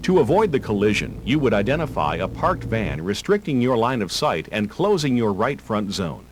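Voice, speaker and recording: Male instructional narrator with lively intonation. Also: fairly low pitch. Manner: measured, instructional delivery. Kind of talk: scripted driver-education video narration. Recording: hum and hiss of old tape.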